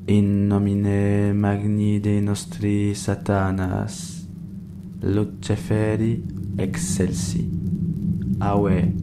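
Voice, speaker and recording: A man playing a world-weary young atheist who solemnly recites a drawn-out satanic incantation in an audio drama. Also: lowish voice, exaggerated voice. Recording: clearly noisy recording.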